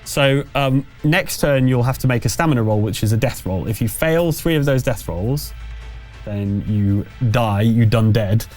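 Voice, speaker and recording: A man's voice: mid-range voice, normal speed, a little mellow. A man acting as game master, narrating a tabletop game in a conversational, slightly hesitant way while explaining what happens. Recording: music playing under the voice. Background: background music.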